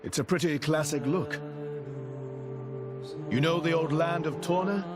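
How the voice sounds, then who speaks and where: A man's voice: fairly high pitch, unhurried, natural voice. A man voicing an easygoing young guide, proud of his homeland, in a video game. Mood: proud, explaining. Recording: prominent music behind the voice.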